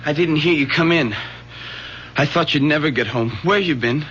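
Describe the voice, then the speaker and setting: A man playing a scared, guilty young son in a radio drama, agitated and halting. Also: on the high side, fairly bright tone, natural voice. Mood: anxious. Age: young adult. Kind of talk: 1970s radio drama acting. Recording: dull, slightly noisy old radio recording.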